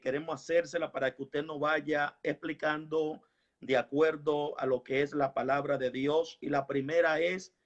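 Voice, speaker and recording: Dull-sounding male religious host, fairly high voice. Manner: devout, interjects praise exclamations. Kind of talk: religious hosting, prayer and scripture reading.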